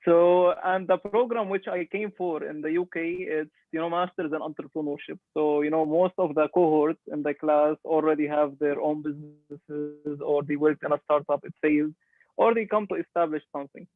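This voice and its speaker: A man's voice: a little high, steady tone, normal speed, no room sound. A man in his twenties from Lebanon, a startup founder telling his story in a casual interview, earnest and hesitant with many fillers. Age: 20s. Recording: dry tinny call line.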